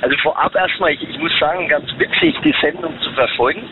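A man's voice: on the high side, very animated, fast. A man from Germany calling a radio show from his car while driving, chatting in an easygoing, rambling way. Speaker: caller. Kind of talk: call-in chat. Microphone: phone. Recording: phone line with road noise.

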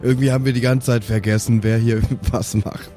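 A man's voice: medium pitch, fairly even intonation, fairly quick, music in the background, lightly acted. A man playing a cheeky dwarf brother with crude humour in an improvised fantasy audio drama, casual and bickering.

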